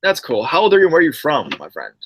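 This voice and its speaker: A man's voice: a little high, wildly swooping pitch, rapid-fire, a crowd in the background. A young adult man who reads viewer horror stories aloud and then chats loosely with his live-stream audience, full of slang. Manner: slangy, loose and chatty, calls listeners bro and dude.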